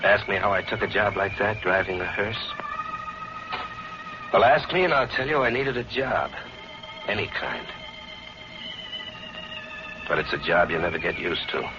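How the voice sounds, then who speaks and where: A man's voice: low voice, expressive, measured pace, long pauses, music in the background. A man playing a wisecracking, jittery hearse driver in 1940s radio noir, with a punchy, slangy delivery, on a muffled old broadcast. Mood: weary, brooding. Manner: hard-boiled, slangy, punchy.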